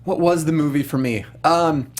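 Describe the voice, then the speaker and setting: A man's voice: on the high side, normal speed. A man co-hosting a casual movie review chat, wordy and digressive, cutting himself off with informal, emphatic, sweary phrasing. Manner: verbose, digressive, self-interrupting. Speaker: talk show co-host reviewing video game movies.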